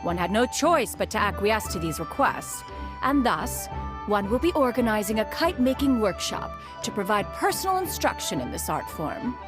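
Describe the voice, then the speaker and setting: A woman's voice: medium pitch, very animated, normal speed, natural voice. An actress voicing a proud, aloof ancient female immortal who speaks formally and calls herself "one". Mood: haughty. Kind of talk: game dialogue. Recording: soft game music behind.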